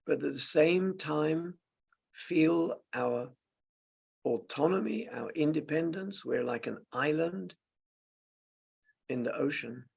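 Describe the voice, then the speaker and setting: Gravelly man guiding a slow meditation. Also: fairly high pitch. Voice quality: gravelly, husky. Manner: gentle, unhurried, halting short phrases. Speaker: meditation teacher. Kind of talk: slow guided meditation with long pauses.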